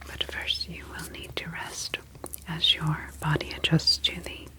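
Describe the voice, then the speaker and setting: A woman playing a calm, clinical ocean alien scientist in an ASMR sci-fi roleplay, whispering in a soft, formal, reassuring manner. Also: low-pitched, a little slow. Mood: soothing, formal.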